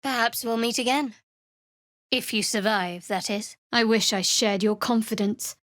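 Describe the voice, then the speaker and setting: An actress playing a guarded, sardonic young cleric of a dark goddess in a fantasy game, with a dry, wry delivery. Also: female voice, a little high, a little slow, no room sound.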